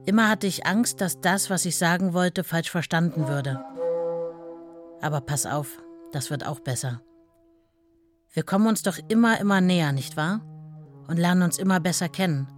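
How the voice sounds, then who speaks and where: A woman's voice: fairly low pitch, moderate pace, pauses often, soft background music, natural voice. An actress playing a devoted, modest young working-class wife telling her story in a radio play. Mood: tender, earnest.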